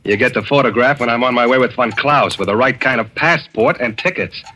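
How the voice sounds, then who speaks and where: An actor playing a cynical, hard-boiled American turncoat correspondent in an old radio drama. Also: male voice, medium pitch, fairly quick, pushed and bright, close to an everyday voice. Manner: cool, hard-boiled, sardonic. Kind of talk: 1940s radio acting. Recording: old radio recording with faint hiss.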